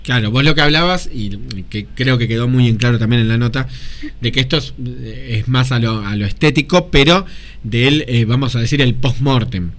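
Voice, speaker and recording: A man's voice: medium pitch, normal speed. A man in his thirties with an Argentine accent, hosting a radio interview, chatty and friendly with frequent hesitant restarts. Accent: Argentine Spanish. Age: 30s. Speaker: radio host.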